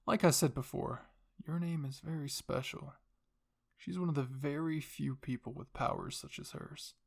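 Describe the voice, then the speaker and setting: A man's voice: fairly high pitch, normal speed, gravelly. A man voicing a cold, scheming royal father who commands and explains without remorse in an anime fan fiction reading. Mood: coolly musing.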